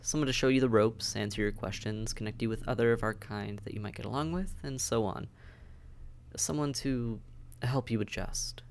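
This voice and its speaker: A man's voice: medium pitch, moderate pace, slight background noise, natural voice. A man acting a friendly, dry-witted vampire caseworker in a conversational audio roleplay, wry, understated and matter-of-fact. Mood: helpful, friendly. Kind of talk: conversational audio roleplay, one side of a dialogue.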